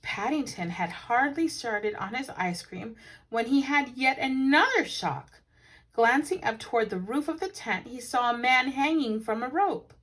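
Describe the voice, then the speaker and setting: A woman reading a children's picture book aloud as a teacher, with animated, dramatic emphasis. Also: a little high, measured pace, low-quality recording. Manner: animated, dramatic emphasis with hushed asides to listeners.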